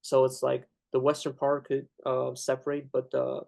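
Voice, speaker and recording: A man's voice: medium pitch, completely flat delivery, creaky, a little mellow. A young man, the interviewer, debating casually with frequent restarts and fillers. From Ukraine. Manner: halting, many restarts and fillers.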